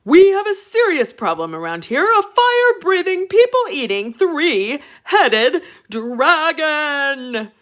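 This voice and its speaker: A woman's voice: sits high, big pitch swings, takes it slowly, few pauses, a bit bright, voice pushed up, full character voice. A woman voicing a stern, blustering king who scolds his son emphatically in a children's storybook read-aloud. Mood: stern, indignant. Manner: emphatic, roaring.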